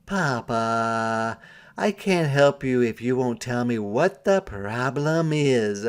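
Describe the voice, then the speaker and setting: A man's voice: mid-range voice, lively intonation, slow pace, smooth. A man voicing Missus Claus, Santa's patient older wife, who questions and reasons practically in a storybook. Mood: patient, coaxing.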